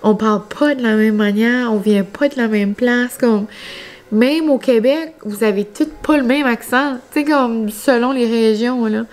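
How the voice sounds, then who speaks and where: A young woman with a northern New Brunswick Acadian French accent talks in a fairly high voice. She speaks casually to her vlog viewers, rambling along in colloquial regional speech. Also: a little slow, a little mellow. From New Brunswick, Canada. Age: young adult. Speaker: vlogger.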